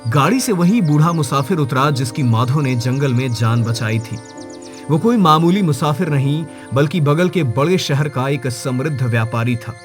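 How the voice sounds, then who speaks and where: A man's voice: a little high, lively intonation, normal speed, faint music behind. A man reading a scripted moral story as its narrator, in a dramatic storytelling style.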